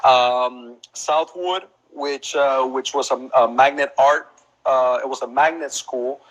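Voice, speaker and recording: A man's voice: mid-range voice, slow pace, rough recording. A man in his thirties from Colombia with a Colombian Spanish accent, chatting casually as a guest on a live video interview, easygoing and often repeating words as he thinks. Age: 30s. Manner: relaxed, calls the host man and bro, repeats words while thinking. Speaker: photographer and business owner. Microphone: phone or laptop on a live video call.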